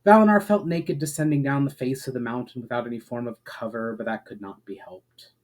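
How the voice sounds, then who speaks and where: A man reading aloud as an author and host, with expressive, measured phrasing that falls away softly at the ends of sentences. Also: mid-range voice. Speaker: author and channel host reading his own book. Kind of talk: dramatic storybook reading of fantasy prose.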